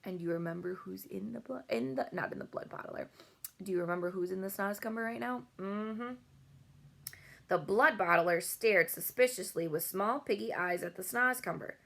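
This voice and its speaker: Female storybook reader, medium pitch, narrating for children. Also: normal speed, creaky, fairly bright tone. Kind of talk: children's read-aloud narration with character voices.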